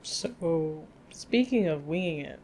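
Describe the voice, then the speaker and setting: A woman's voice: sits low, takes it slowly, slight background noise. A woman co-hosting a podcast, chatting casually and working out her thoughts as she talks. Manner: relaxed, talks it through with fillers. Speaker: podcast co-host.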